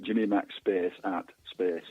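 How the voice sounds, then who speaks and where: A man being interviewed as a guest author and historian, speaking casually and haltingly, often repeating words mid-sentence. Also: low voice, fairly even intonation. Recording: muffled, narrow phone-line sound with echo.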